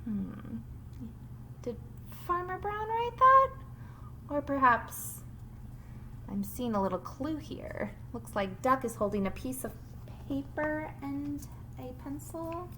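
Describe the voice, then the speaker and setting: A woman teacher giving a read-aloud lesson to young children, expressive and instructive, thinking aloud as she goes. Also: sits high, slow pace, pauses often, slight background noise. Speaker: primary school teacher.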